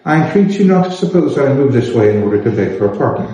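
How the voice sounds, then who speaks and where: Man voicing a firm young gentlewoman, echoey. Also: medium pitch, sing-song, fast, voice pushed up, natural voice. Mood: firm politeness. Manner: polite, firm, formal. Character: spirited, self-possessed young gentlewoman. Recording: echoey room, slightly dull sound.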